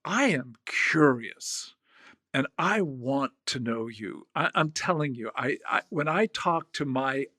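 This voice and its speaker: A man's voice: a little high, a bit bright, dry recording, clean studio sound. A man in his sixties co-hosting a podcast, talking thoughtfully and telling stories with frequent restarts. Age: 60s. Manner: thoughtful, storytelling, hesitant restarts. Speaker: podcast host. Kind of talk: reflective podcast conversation.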